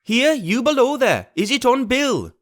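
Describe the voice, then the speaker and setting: A man voicing a vicious blind old pirate beggar who shouts his commands and curses in a shrill, raised voice. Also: very animated, a little slow, full character voice. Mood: raging.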